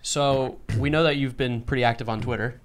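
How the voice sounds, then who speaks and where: A man with a medium-pitched voice interviews as a podcast co-host. He talks informally and agreeably, in the loose phrasing of casual chat. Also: steady tone, fairly quick.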